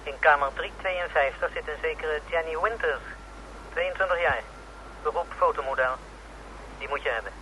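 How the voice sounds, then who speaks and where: A man acting a brisk, helpful colleague of a detective in a radio drama, casual and matter-of-fact. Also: fairly high pitch, sharp tone, slight background noise, low-quality recording. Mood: brisk, businesslike.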